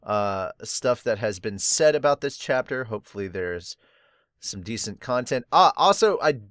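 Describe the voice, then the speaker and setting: A man's voice: mid-range voice, lively intonation, moderate pace, a bit bright, dry recording, studio quality. A young man hosting a podcast, chatting casually and rambling through a manga review, with many uh's and restarts. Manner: rambling, filler-heavy, chatty. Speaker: podcast host.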